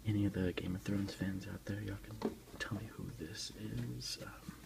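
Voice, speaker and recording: A man softly whispering an informal, unhurried ASMR unboxing in a breathy voice. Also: fairly low pitch, steady tone, very noisy. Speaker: ASMR video creator. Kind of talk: ASMR unboxing, softly narrated show-and-tell.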